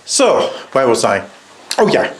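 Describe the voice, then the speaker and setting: A man's voice: mid-range voice, wildly swooping pitch, a little slow, a little hiss or hum. A man in his fifties talking casually in a personal video diary, rambling and hesitant in a matter-of-fact way. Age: 50s. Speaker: vlogger. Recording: echoey room.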